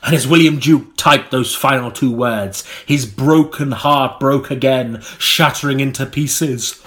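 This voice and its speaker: A man narrating an audiobook in a theatrical, melodramatic style, with dramatic pauses. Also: mid-range voice, a bit bright.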